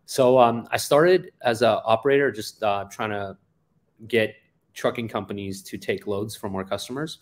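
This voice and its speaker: Low voice guy in his 40s on a webcam from an office, lots of ums, matter of fact. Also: normal speed. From Afghanistan. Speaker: guest, company founder. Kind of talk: interview storytelling. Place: office indoors. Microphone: webcam on a video call.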